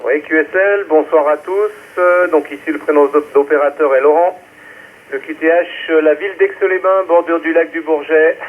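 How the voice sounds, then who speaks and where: A man's voice: fairly high pitch, moderate pace. A man speaking as an amateur radio operator, checking in over a radio relay. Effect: ham radio transmission. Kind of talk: radio check-in with call signs. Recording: narrow-band radio relay with faint noise.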